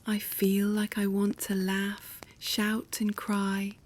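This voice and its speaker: Woman as a restless little storybook girl bear, slow-paced. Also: medium pitch, monotone, slight background noise, close to an everyday voice. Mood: overtired, fizzy.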